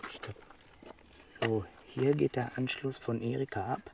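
A man's voice: mid-range voice, unhurried. An actor playing a curt, bossy man who searches for water leaks, in a naturalistic radio play. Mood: commanding. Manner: curt, ordering, sure of himself. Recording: clear outdoor noise behind the voice.

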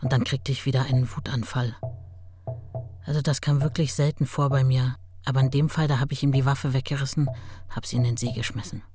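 A woman's voice: rumbling low, fairly even intonation, brisk. Music runs under a woman playing a worn-out ex-wife in a radio play. She recounts her married life candidly, like someone giving testimony. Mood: sober, candid. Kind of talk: radio drama, testimony-like recollection.

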